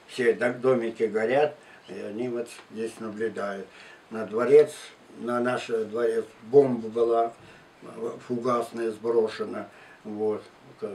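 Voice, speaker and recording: A man in his nineties from Russia, slowly and haltingly recounting his life story in an interview. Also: on the low side, mellow. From Tula region and Moscow outskirts, Russia. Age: 92, elderly. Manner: halting, unhurried, with restarts and pauses. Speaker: war veteran interviewee. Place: living room at home, indoors. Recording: distant mic, faint room noise.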